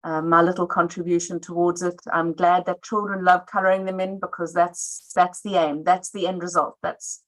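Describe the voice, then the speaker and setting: A woman artist speaking at a book launch, earnest and warm, often restarting her sentences. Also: fairly low pitch, level tone, moderate pace, hardly any pauses, rough recording. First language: not Afrikaans. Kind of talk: speaking at a live event.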